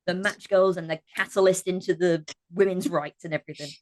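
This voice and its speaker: Low-voiced woman speaking earnestly. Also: steady tone, a little fast. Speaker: podcast guest, cast member of an audio drama. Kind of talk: impassioned casual discussion.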